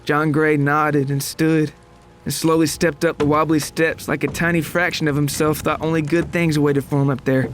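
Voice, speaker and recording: A man's voice: fairly high pitch, level tone, moderate pace, faint music behind. A man narrating a scripted first-person horror story in a dramatic, solemn, suspenseful manner.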